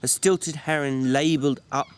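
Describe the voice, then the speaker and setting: A man narrating a novel as a dramatic literary reading, with measured, deliberate phrasing. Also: a little high, steady tone, clear, resonant tone.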